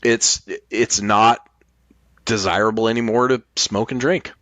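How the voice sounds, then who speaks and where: A man's voice: on the low side, steady tone, unhurried, a bit bright, no room sound. A man chatting casually as a podcast host, blunt and emphatic, swearing casually. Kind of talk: casual chat.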